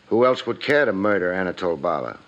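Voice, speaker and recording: An actor playing a tough, wry private detective in a 1950s radio drama, narrating and questioning in a clipped, insinuating way. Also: male voice, deep voice, big pitch swings, fast. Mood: probing, accusing. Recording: muffled old radio recording.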